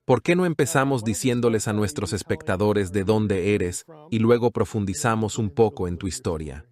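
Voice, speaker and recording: A man hosts an interview show in a fairly low voice. He welcomes the audience and steers his guest with curious, guiding questions. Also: normal speed, a little mellow. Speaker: interview host. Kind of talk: hosting an interview.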